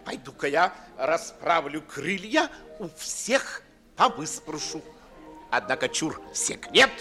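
An actor playing an excitable dandy eager for gossip in a radio play, theatrical and exclaiming. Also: male voice, a little high, takes it slowly, exaggerated voice. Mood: excited, conspiratorial. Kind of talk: 1950s Russian radio stage acting. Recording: 1950s radio theatre recording, faint music.

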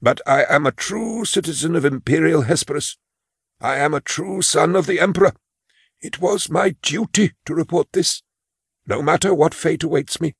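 A man's voice: on the high side, normal speed, dry recording, studio quality. An actor playing a frail, elderly male clerk who is anxious and quavering, in an audio drama. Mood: fearful. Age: older. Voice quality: gravelly, husky.

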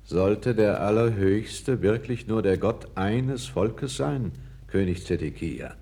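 An actor playing a calm, candid foreign court councillor, speaking with measured, deferential gravity in a 1960s radio play. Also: male voice, on the low side. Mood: earnest, urging. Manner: measured, grave, deferential yet frank.

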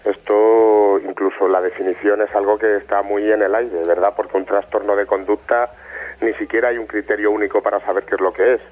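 Low, even-toned Spanish man on call. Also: a little fast, rough recording. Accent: Spain. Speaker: interview guest, former youth care educator. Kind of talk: explanatory spoken testimony. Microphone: telephone call.

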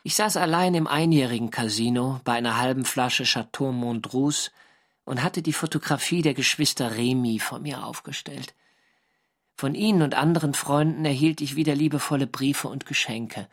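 Young navy diarist played by a man, mid-pitched, measured literary radio drama narration. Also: natural voice. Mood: reflective. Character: young German navy sailor, diarist recounting war service. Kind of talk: scripted first-person radio drama narration.

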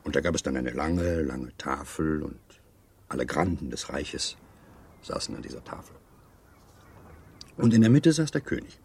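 An actor in a German radio play playing a gallant, teasing seducer who tells stories in a suave, theatrical way. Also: male voice, rumbling low, sing-song, moderate pace, vocal fry, pauses often, darkish tone, slight background noise, full character voice. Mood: suave, dramatic storytelling. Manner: theatrical, suave, savouring storytelling.

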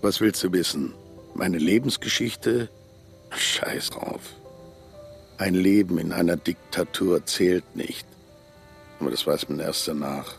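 An actor playing a brooding, cryptic man stranded in a small town who haunts the river at night, speaking in a hushed, bitter way in a radio drama. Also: low voice, slow pace, vocal fry, pauses often, soft background music, heavily acted.

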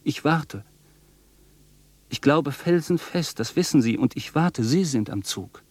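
A man's voice: fairly high pitch, lively intonation, unhurried, a little mellow, husky, dry recording. An actor playing an obsessive, defiant, imperious rich man in a German radio drama, declaiming and repeating his commands. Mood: urgent, challenging.

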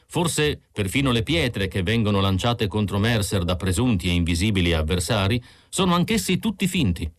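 A man's voice: lowish voice, no room sound, voice pushed up. A man voicing an earnest chief investigator explaining findings on television, emphatic and declarative, in an audiobook.

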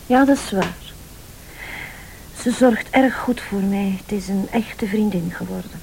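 A fragile, lonely rich wife in a radio thriller. Her voice is of medium height and sounds ailing. Clear noise sits behind it on the recording. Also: mid-range voice, unhurried, a little mellow, low-quality recording. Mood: grateful, fragile.

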